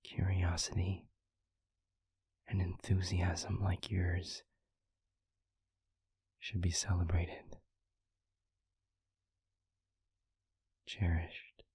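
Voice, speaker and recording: Male demon played by a man, introspective and tender, once arrogant. Husky, gravelly half-whisper, close and dry, for intimate audio roleplay. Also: sits low, completely flat delivery, a little mellow, no echo, full character voice. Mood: tender, earnest. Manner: slow, halting, reflective delivery.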